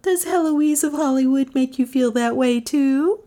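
A woman voicing an impish, sharp-tongued young woman who is easily alarmed, in audiobook dialogue with a teasing, exclamatory manner. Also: very high voice, very animated, unhurried, voice pushed up, full character voice. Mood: teasing, indignant.

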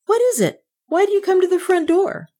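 A stubborn, excitable maidservant in a storybook, put on by a woman reader. She talks in a high voice with big swoops, snappy and quick to take fright. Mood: surprised curiosity. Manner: sharp, snappy.

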